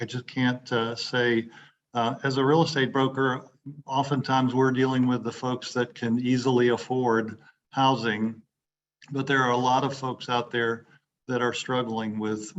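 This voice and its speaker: A man giving casual remarks as a chamber ambassador on a video call, halting, with many fillers and restarts. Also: mid-range voice. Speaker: real estate broker and chamber ambassador. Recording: dull lo-fi call audio with faint noise.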